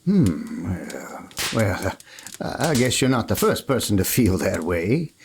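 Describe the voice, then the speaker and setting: A man's voice: lowish voice, sing-song, slow, gravelly, noisy background, exaggerated voice. A man playing an easygoing, kindly Texas cowboy with a hesitant, rambling folksy manner in a Western radio play. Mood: gently concerned. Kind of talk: old-time Western radio play acting. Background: plucked guitar music.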